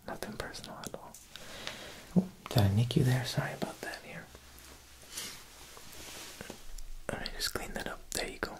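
A young man playing a smooth, quietly menacing Italian mob boss tailor in an ASMR roleplay, speaking softly and unhurriedly at a close mic, with insinuating pauses. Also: mid-range voice, expressive, natural voice. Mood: falsely reassuring. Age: young adult. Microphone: close ASMR microphone.

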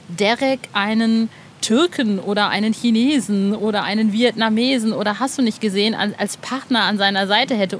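A woman's voice: medium pitch, slight background noise. A woman hosting a podcast interview in an informal, chatty way, asking questions like a fan. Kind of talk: casual conversational interview.

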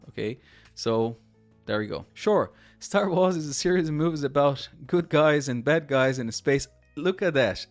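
A man's voice: on the high side, no echo. A man in his thirties with a Portuguese accent, hosting a chatty screen-share tutorial, often repeating and restarting words. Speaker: tech tutorial host.

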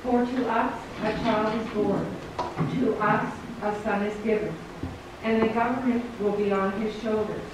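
A middle-aged woman reads scripture aloud in a steady, deliberate way. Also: medium pitch. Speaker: Advent reader. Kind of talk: scripture reading. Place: small church sanctuary, standing at the front. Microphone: stand mic, far camera. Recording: echoey church room from a distant camera, background noise.

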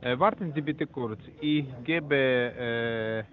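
A call center agent on the phone, speaking haltingly with many fillers and restarts. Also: male voice, mid-range voice. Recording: tinny phone line.

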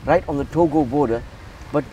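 A man's voice: a little high, a little fast, dark tone, recorded from a distance, a little hiss or hum, low-quality recording. A man from Zimbabwe who runs a charity, talking earnestly in a casual interview and often repeating words as he restarts. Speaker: charity founder.

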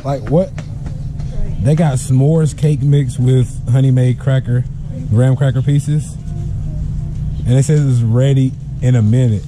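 A man's voice: medium pitch, steady tone, measured pace, pauses often. A young man chatting casually and playfully in slang as he vlogs while shopping in a supermarket. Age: young adult. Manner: playful, slangy, informal talk. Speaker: family vlogger. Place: inside a large supermarket. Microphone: handheld action camera at arm's length. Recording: very noisy supermarket action-camera audio.

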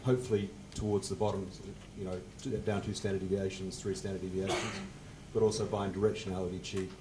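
A man in his forties answering on a conference panel at a table mic, measured and technical, his phrases fading at the ends. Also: low voice, flat delivery, noisy background. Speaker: panelist, fund manager. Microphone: table mic on stand.

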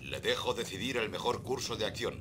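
A formal male commander, as an actor plays him, briefing a soldier over the radio with polite, measured phrasing. His voice is low and steady. Noise sits under the game sound. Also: natural voice. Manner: formal, addresses with usted. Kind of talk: dubbed game dialogue. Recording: noisy game audio.

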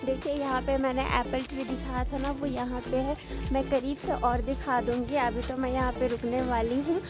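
A woman's voice: high-pitched, flat delivery, normal speed. A woman in her thirties filming a casual family travel vlog outdoors on a phone held at arm's length, chatting to viewers and pointing out the sights. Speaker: family vlogger. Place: outdoors on streets and in a hotel garden. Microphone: phone camera held at arm's length. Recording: background music over the voice.

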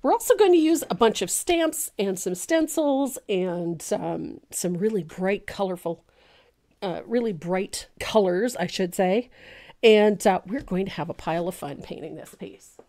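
A woman in her sixties, a little congested and gravelly, hosting a live painting lesson in her home studio in a chatty way. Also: mid-range voice, expressive, measured pace. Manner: chatty, warm-hearted, laughs often, many ums. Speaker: painting teacher, live show host. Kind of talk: casual live painting tutorial. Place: home craft studio, indoors. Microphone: studio mic on boom arm.

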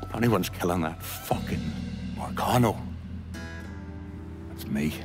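A man's voice: low-pitched, lively intonation, slow, over music. A man playing a bitter, drunken middle-aged Irish gangster in a video game, cursing scornfully with a volatile temper. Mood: bitter anger. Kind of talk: video game cutscene acting.

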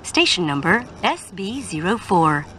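A woman giving a scripted train announcement in a formal, measured manner. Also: lowish voice, big pitch swings, a bit bright, a little hiss or hum. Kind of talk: scripted English in-car announcement.

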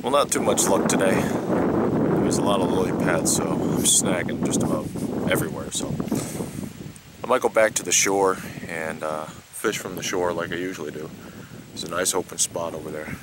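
Relaxed man talking outdoors, wind noise. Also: low-pitched, normal speed. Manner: relaxed, rambling, frequent "uh" fillers. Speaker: outdoor vlogger. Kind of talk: casual outdoor vlog. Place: outdoors on a pond in a kayak. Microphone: camera close at arm's length.

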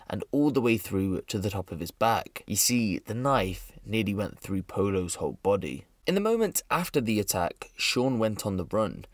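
A man's voice: lowish voice, no echo, studio quality. A man narrating a scripted true crime story in a serious storytelling manner.

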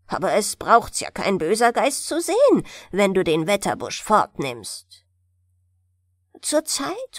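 A gentle, sorrowful little forest sprite played by a woman narrator in a fairy tale. The voice sits a bit high and moves slowly, with lively rises and falls as he earnestly pleads. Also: no echo, studio quality, exaggerated voice. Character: small male mandrake sprite, gentle, plaintive, eager. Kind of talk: fairy-tale audiobook character.

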